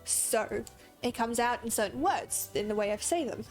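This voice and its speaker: A woman's voice: on the high side, expressive, normal speed, soft background music. A young woman with an Australian accent drifting toward American, chatting in a rambling way while she streams a game and laughs often. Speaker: gaming streamer. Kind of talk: casual livestream chat while playing.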